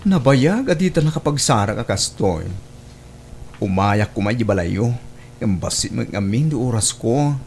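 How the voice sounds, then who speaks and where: A man's voice: medium pitch, animated, moderate pace, a little mellow, a little hiss or hum, natural voice. A man with an Ilocano Filipino accent acting a plain-spoken office colleague and family friend in an audio drama. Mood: friendly.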